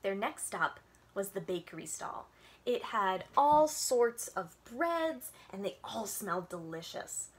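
A young woman, a children's librarian, reading a picture book aloud at story time with animated, expressive delivery, recorded on a webcam at home. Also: mid-range voice, slow. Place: home room with bookshelves. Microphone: webcam on a video call.

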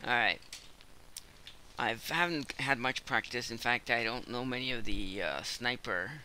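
A man's voice: mid-range voice, unhurried, background noise. A man with a cold, casually commenting on his own gameplay while he plays, rambling with lots of fillers. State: hoarse from a cold.

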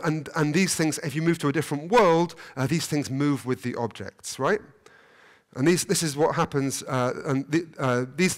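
A middle-aged man lectures at a scientific conference from a lectern in a hall, his voice sitting a little higher than most men's. He speaks in halting bursts, stopping and restarting phrases and leaning on fillers as he works through ideas out loud. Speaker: keynote speaker.

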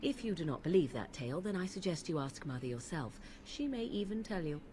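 Casting: a haughty young fantasy-game witch, sardonic and archaic in phrasing, low expressive voice, noisy ambience behind. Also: fairly quick. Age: young adult. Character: aloof, sardonic young woman witch of the wilds. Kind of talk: fantasy video game acting.

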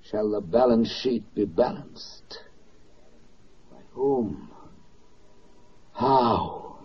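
A man's voice: fairly low pitch, animated, very slow, a crowd in the background, exaggerated voice. A man performing as a solemn, poetic narrator in a 1940s wartime radio drama, with an oratorical delivery. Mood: grave, challenging. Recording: muffled old 1940s radio recording.

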